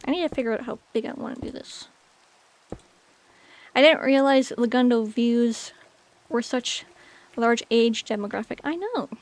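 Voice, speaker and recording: A woman's voice: on the high side, moderate pace, pauses often. A woman in her late twenties chatting casually with viewers on a game stream, rambling with many fillers. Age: 20s. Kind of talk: casual chat with chat while gaming.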